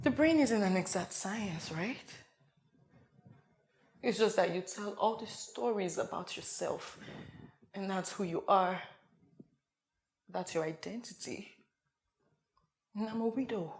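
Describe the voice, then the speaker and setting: A woman's voice: mid-range voice, takes it slowly, pauses often. A woman performing a dramatic comedic monologue as a frazzled young wife and mother who rambles and interrupts herself in a meltdown. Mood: weary, shaken. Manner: rambling, flustered, self-interrupting. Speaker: actor performing an audition monologue.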